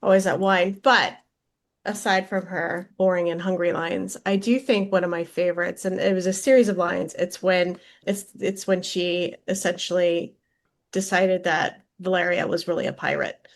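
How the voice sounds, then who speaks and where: A woman's voice: on the low side, steady tone, moderate pace. A woman who voices drama characters, reminiscing in casual conversation. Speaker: voice actor on an audio drama.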